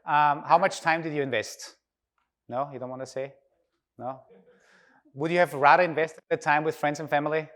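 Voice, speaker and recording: A man's voice: on the high side, fairly even intonation, normal speed, long pauses, fairly bright tone. A man from Austria with an Austrian accent and native German, speaking informally as he gives a conference talk and engages his audience with questions.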